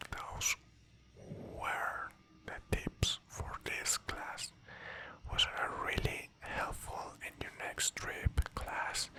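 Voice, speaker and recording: Teacher whispering a lesson, bright airy whisper, calls you class. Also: rough recording. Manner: soft whisper, repeats words in pairs, calls listeners class. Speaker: Spanish teacher. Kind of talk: whispered ASMR language lesson.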